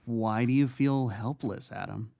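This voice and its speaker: Man acting a creaky, measured therapist. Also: mid-range voice, dry recording. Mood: calm, probing. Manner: measured, reflective, gently questioning. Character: calm, probing male therapist, patient doctor. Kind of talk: audio drama acting.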